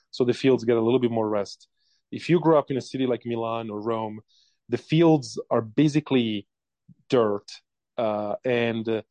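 A man's voice: mid-range voice, darkish tone, dry recording, muffled recording. A man in his forties from Italy with an Italian accent, a company founder telling his story in a casual interview, hesitant with many fillers.

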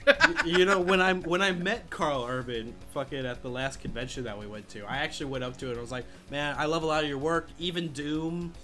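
Bright-voiced man chatting. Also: a little high, brisk, faint music behind. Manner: jokey, laughs often, swears casually. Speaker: podcast co-host. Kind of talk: casual film countdown chat.

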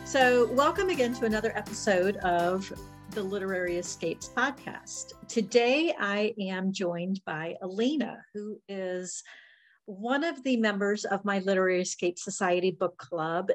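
Podcast host woman, faint music behind. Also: medium pitch. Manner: friendly, quick interjections and laughs. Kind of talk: casual interview chat.

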